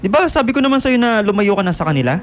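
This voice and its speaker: A man's voice: high-pitched, sing-song, rapid-fire, slight background noise. An actor playing a worried, middle-aged father who scolds and pleads with his son in a radio drama, with a Filipino accent. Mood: scolding, pleading. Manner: pleading, admonishing. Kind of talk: radio drama dialogue, Taglish.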